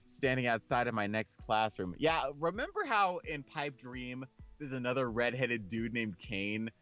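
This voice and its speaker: A man's voice: mid-range voice, animated, a little slow, a bit bright, muffled recording. A man casually reading a game aloud and chatting about it with slangy, sweary reactions. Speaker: let's-play host reading a visual novel aloud. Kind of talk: casual co-host chat and narration of a game.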